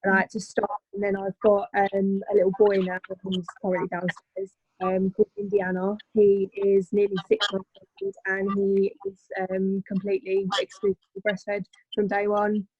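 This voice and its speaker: Flat-voiced young woman, halting. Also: medium pitch, breathy edge, dry recording, narrow, tinny audio. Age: 20s. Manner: halting, many ums. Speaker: guest mother. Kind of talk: casual video call chat.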